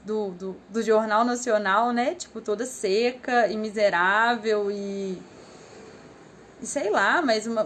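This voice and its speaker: A young adult woman with a Brazilian accent, telling her story in a reflective, casual way on a live stream, often trailing off with small fillers. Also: a little high. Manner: thoughtful, rambling, with frequent "assim" and "né" fillers.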